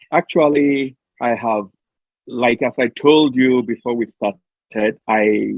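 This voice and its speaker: A middle-aged man from Nigeria, answering interview questions over a remote link in a halting, deliberate way. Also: mid-range voice, no room sound. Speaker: interview guest, diplomatic mission staffer. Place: remote call into a studio. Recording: tinny phone-like remote call.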